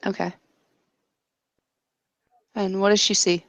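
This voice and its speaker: A woman's voice: medium pitch, little pitch movement, takes it slowly, no room sound. A woman casually narrating an improvised tabletop roleplay as game master, hesitant and thinking aloud as she goes.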